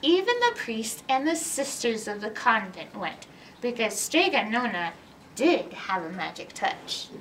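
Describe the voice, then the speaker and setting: A middle-aged woman hosting a read-aloud story time for young children, animated and teacherly in manner. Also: a little high, measured pace. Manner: animated, exaggerated, teacherly, asking questions. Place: indoors in a library, by bookshelves. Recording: roomy distance from mic, background noise.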